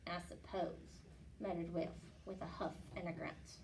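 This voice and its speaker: A woman reading a rhyming children's picture book aloud in an expressive storytelling style. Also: lowish voice, moderate pace, creaky. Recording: slightly distant mic, faint background noise.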